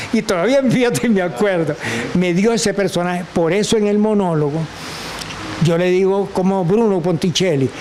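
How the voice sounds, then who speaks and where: An older man with a Venezuelan accent, a guest telling animated stories and acting out the voices of people he quotes. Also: high voice, moderate pace, poor audio. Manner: expressive, acts out quoted voices, laughs often. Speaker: guest, actor and teacher. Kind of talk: animated storytelling.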